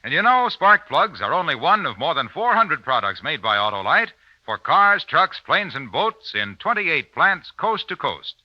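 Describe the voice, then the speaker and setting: A male American radio announcer of the 1940s with a fairly high, animated voice and emphatic polish. The recording is muffled, with faint music behind. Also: normal speed, no echo. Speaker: radio announcer and sponsor pitchman. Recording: dry, muffled old radio broadcast.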